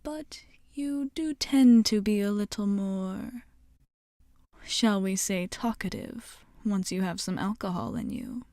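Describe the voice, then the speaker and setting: Woman as courteous, coy female vampire bartender for ASMR roleplay: unhurried, mellow, soft and breathy, formal phrasing, very dry close sound. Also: medium pitch, animated, no echo. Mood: polite, teasing. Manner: formal, measured, hesitant pauses, teasing politeness.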